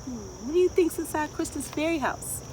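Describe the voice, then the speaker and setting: A middle-aged woman with a fairly high voice reads a children's picture book with expressive, deliberate phrasing. She is recorded outdoors a little way from the mic, with faint insect noise and ambience around her. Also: gravelly, distant mic. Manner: expressive, asks listeners questions, deliberate phrasing. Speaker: children's storyteller. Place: outdoors in a wooded garden.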